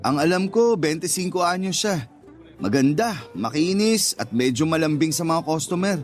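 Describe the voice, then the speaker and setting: Radio drama: actor as tactless but earnest young man courting, high-pitched, careful, apologetic, light music behind. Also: lively intonation, normal speed, lightly acted. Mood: apologetic, explaining. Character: earnest, tactless young male suitor, timid customer. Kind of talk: Tagalog radio drama acting.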